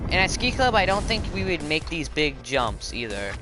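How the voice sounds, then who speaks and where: A teenage boy commentates a game in a low voice that now and then cracks. He talks in a chatty, rambling way, often stopping to correct himself. Loud game music plays behind him the whole time. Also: big pitch swings, normal speed. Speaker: gaming video host. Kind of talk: casual gaming commentary.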